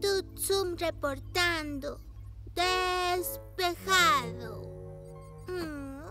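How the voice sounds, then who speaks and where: A woman voicing an eager, naive little girl motorcycle in a children's cartoon dub, with a childlike, excitable manner. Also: very high voice, extremely slow, music in the background, exaggerated voice. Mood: bored. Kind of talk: dubbed children's cartoon acting.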